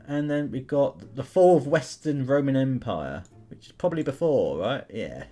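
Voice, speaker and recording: A man's voice: medium pitch, lively intonation, moderate pace, dark tone. A man giving casual game commentary as a host, thinking aloud in questions and chatting to his viewers. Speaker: YouTube gamer host. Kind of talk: casual commentary while playing an online history quiz game.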